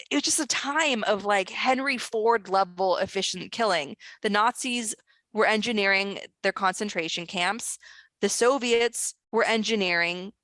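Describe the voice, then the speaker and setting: A woman author and screenwriter speaking as a guest in an online discussion, talking in an earnest, urgent way with quick restarts. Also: medium pitch, a bit bright, no room sound. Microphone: video call.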